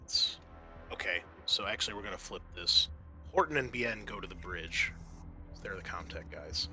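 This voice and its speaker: A man working as game master, narrating a roleplaying session in a halting, conversational way. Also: sits low, bright, forceful tone.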